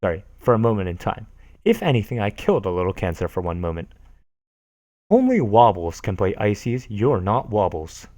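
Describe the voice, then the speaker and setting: Man, lively pitch, sarcastic, reading posts aloud, dry close sound. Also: moderate pace, dry recording. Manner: animated, sarcastic, laughs at what he reads. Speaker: streamer. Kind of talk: casual reading of forum posts.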